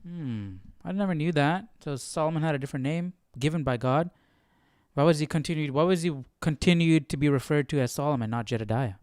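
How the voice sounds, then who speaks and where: A man's voice: on the high side, a little fast, darkish tone, clean tone, no room sound, clean studio sound. A man hosting a live Bible study, casually musing and thinking aloud in a puzzled way.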